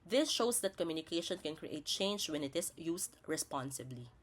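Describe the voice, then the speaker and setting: A woman teacher narrates scripted instructional material. Her voice sits a little low for a woman and moves with very animated intonation. She sets out each point in a deliberate, didactic manner. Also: a little hiss or hum. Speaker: teacher presenting a lesson.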